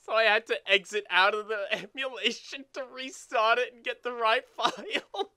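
Bright, clear-voiced young man bantering. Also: extremely high pitch, moderate pace. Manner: rambling, filler-heavy with frequent "like". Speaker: let's play co-host. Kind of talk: casual gaming banter, swearing.

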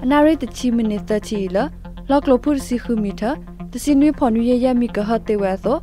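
High, mellow woman announcer over faint music. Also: moderate pace. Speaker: radio announcer. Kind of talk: scripted station announcement.

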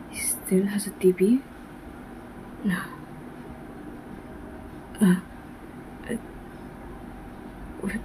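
A woman voicing a caring but uneasy young man in an amateur comic dub, with a halting delivery. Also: mid-range voice, background noise. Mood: nervous.

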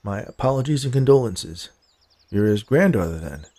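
A man voicing a polite, persistent male land buyer in a story, with a calm, folksy, disarming manner. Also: on the low side, normal speed. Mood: sympathetic, firm.

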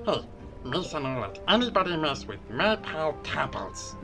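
A man voicing a cowardly, cheerful frog-like alien outcast in a comic dub, rambling excitably in pidgin baby-talk. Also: fairly high pitch, normal speed, gravelly. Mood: sheepish. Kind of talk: comic dub character acting. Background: music.

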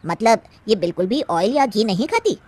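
A woman voicing a middle-aged, authoritative cartoon doctor with a measured, explanatory manner. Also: mid-range voice, animated, darkish tone, lightly acted. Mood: grave. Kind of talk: Hindi cartoon moral-story acting.

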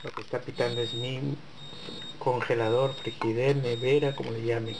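A man's voice: mid-range voice, little pitch movement, moderate pace, gravelly, background noise. A man hosting a home cooking channel, talking viewers through a dessert step by step in his kitchen. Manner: chatty, talks to viewers while working. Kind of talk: casual cooking tutorial.

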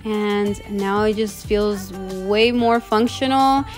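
A woman's voice: mid-range voice, fairly even intonation, takes it slowly. A woman in her thirties casually narrating her home cleaning in a voice-over. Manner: casual, chatty, matter-of-fact. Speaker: cleaning vlogger. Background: background music.

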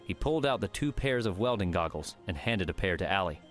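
Low-pitched male audiobook narrator. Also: expressive, a little fast, soft background music. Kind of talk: scripted narration.

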